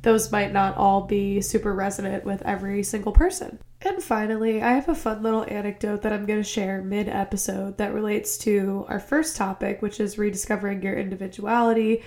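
Young woman podcast host, upbeat and chatty, mid pitch. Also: steady tone, darkish tone. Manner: chatty and upbeat, quick asides. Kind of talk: casual podcast interview. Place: home in a cold city.

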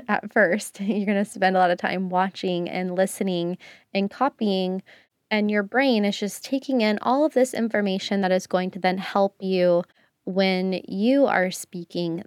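A woman's voice: medium pitch, a little mellow, dry recording, clean studio sound. A woman talking casually and earnestly, giving advice on learning a language and building her points through repetition. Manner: earnest, explanatory, uses repetition for emphasis.

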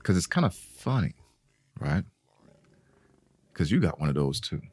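A very low, husky male voice that swings widely in pitch as he jokes and teases his co-host. He talks in relaxed slang with loose, playful timing, and faint music sits underneath. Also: unhurried, dry recording. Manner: joking, teasing, laid-back slang. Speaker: podcast co-host. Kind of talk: casual banter.

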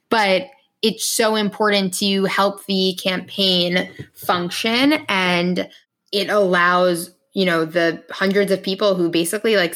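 Relaxed young woman podcast co-host. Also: lowish voice. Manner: relaxed, casual, many "like" and "you know" fillers. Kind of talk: casual podcast chat.

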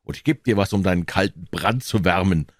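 A man voicing a brisk, tender-hearted elderly aunt who scolds in exclamations in a storybook. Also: lowish voice, big pitch swings, no room sound, studio quality. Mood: brisk, scolding.

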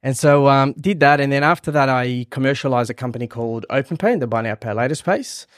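Guy from israel chatting as podcast guest, medium pitch, dry recording. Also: fast talker, no echo. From born in Israel, moved to Australia at thirteen. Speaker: interview guest, startup executive. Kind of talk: casual conversational interview.

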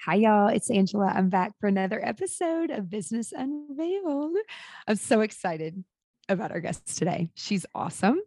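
A woman hosts a podcast in an upbeat, chatty way. Her voice is gravelly and mellow. The sound is dry and close. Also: medium pitch, no room sound. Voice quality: raspy. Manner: upbeat, chatty, says y'all. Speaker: podcast host. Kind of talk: casual interview chat.

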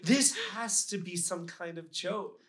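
A woman's voice: fairly low pitch, measured pace, close to an everyday voice. A woman playing an astonished, uneasy restaurant diner, speaking incredulously in a comedy sketch read. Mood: amazed. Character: astonished young female diner, uneasy among friends.

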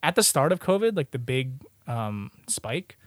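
A young man chatting casually and telling stories in an animated, slangy way, swearing freely. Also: mid-range voice, a little slow, no echo, studio quality. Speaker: podcast host. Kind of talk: casual chat, slangy storytelling.

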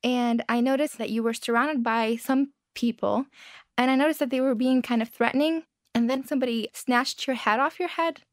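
Young woman from iraq, calm and measured, kinda high voice. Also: no echo, studio quality. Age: young adult. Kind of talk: recorded personal conversation.

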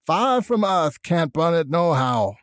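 A man's voice: a little high, big pitch swings, unhurried, no room sound, clean studio sound, voice pushed up. A man voicing a dying, mad old backwoods grandfather in a horror story, wheezing out urgent words in rustic dialect. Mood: urgent, choking. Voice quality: wheezing, gasping. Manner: rustic dialect, choked out in bursts.

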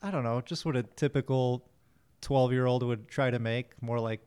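A man's voice: mid-range voice, steady tone, darkish tone, clear, resonant tone. A man from Miami, a musician, talking in an easygoing, friendly way in an interview. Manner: easygoing, friendly, slightly hurried. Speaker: guest musician. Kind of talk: casual interview.

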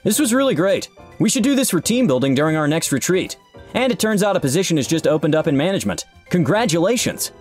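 A brash, combative male executive, voiced by a man telling a story. His delivery is snarky and emphatic, raised in pitch and very animated, over faint music. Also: normal speed, natural voice. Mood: pleased. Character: brash male CEO with snarky attitude, combative, game-loving.

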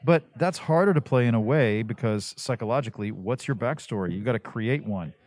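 A middle-aged man with a deep, bass-baritone voice talks casually as an interview guest. He is animated, often jumping higher in pitch when he stresses a point, then settling back into his low register. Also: fairly quick. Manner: animated, jumps up in pitch for emphasis. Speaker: voice actor guest.

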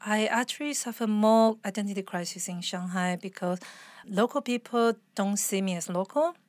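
A woman's voice: medium pitch, normal speed, a little mellow, no echo. Chatty woman, dry sound. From Taiwan. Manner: chatty, laughs often, restarts sentences. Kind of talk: casual interview conversation.

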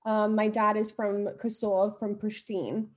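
A woman's voice: medium pitch, little pitch movement, unhurried. A young American woman, a podcast guest, telling stories about her childhood in an upbeat, chatty way. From United States. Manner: upbeat, laughs often while telling stories. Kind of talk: casual interview chat.

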